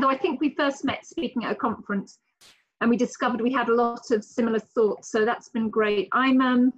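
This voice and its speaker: A woman's voice: fairly high pitch, dry recording. A middle-aged woman from the UK with a British accent, speaking articulately and enthusiastically as a guest on a live video call. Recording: dull lo-fi call audio.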